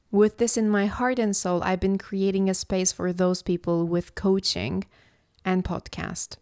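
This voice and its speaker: A woman from Istanbul, hosting a reflective solo podcast as a philosophy coach, speaking in a slow, contemplative, measured way. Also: mid-range voice, darkish tone, smooth, no room sound, clean studio sound. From Istanbul, Turkey.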